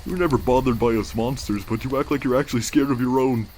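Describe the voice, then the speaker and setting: A man voicing a weary, wisecracking skeleton big brother in a comic dub, casual and halting, with a tender, secretly anguished streak. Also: medium pitch, a little mellow, a little hiss or hum, close to an everyday voice. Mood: gentle, serious. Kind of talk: comic dub character acting. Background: background music.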